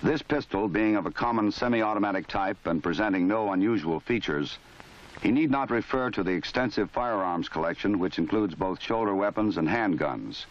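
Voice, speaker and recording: An American man reading formal scripted narration for a training film in a measured, authoritative, matter-of-fact way. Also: lowish voice. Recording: dull lo-fi 1970s film soundtrack.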